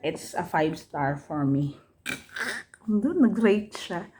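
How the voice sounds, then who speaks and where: A woman's voice: fairly low pitch, expressive, slow, warm tone, slight background noise. A woman with a Filipino accent, a native Tagalog speaker, casually reviewing a book in a vlog. She switches between English and Tagalog, laughs easily, repeats phrases and hunts for words. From Philippines. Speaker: book vlogger.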